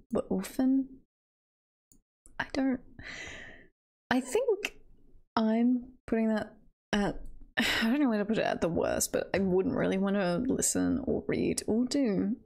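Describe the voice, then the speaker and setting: Creaky, unhurried woman streamer, playfully musing. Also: medium pitch, a little mellow. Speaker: streamer and voice performer. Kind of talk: casual livestream chat.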